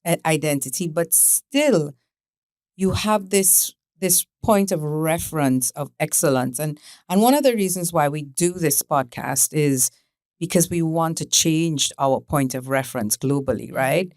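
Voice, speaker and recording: Female co-host, low voice, emphatic talker, dry sound. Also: unhurried, darkish tone, no echo. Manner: emphatic, repeats words, seeks agreement. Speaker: podcast co-host. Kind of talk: casual interview chat.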